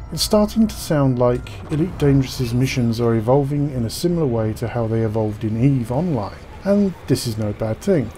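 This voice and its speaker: Man, gaming commentator, dark mellow tone, articulate scripted narration, medium pitch, faint noise behind.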